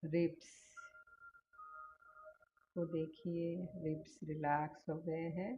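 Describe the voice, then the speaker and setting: An Indian woman leading a guided body-scan meditation as a teacher, giving soothing instructions with long pauses between phrases. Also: low-pitched, flat delivery, dark tone. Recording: dull lo-fi sound with faint noise.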